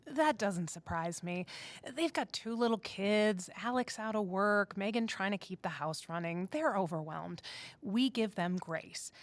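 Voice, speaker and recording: An actress plays a composed woman who runs a town office in an audio drama. She is measured and diplomatic but firmly in charge, with a medium-pitched voice recorded very dry. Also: lively intonation, no echo, lightly acted. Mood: firm, reproving.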